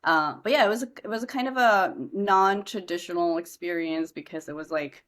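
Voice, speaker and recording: A young woman from India, guest on a podcast, speaks with a notably low, deep voice. She talks in a loose, chatty way, full of "um"s and false starts. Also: a little fast, a bit bright. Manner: chatty, many fillers and restarts. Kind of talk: casual podcast interview.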